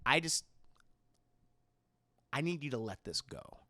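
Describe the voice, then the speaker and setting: A man's voice: high voice, lively intonation, a little slow, creaky, bright, forceful tone, heavily acted. A man voicing a smug, falsely friendly male town mayor with a condescending, insistent manner. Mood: placating.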